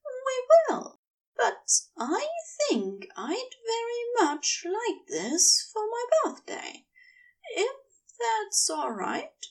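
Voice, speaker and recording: Woman playing an adoring wife, very high pitch, swooping intonation, sweet, earnest, playful, audio story. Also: huge pitch swings, extremely slow, creaky, distant mic, exaggerated voice, poor audio. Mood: earnest, coaxing.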